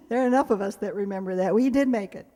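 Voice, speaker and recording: A woman's voice: mid-range voice, mellow. An older woman giving a chatty, scripted introduction to a live audience as presenter. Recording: echoey hall live recording.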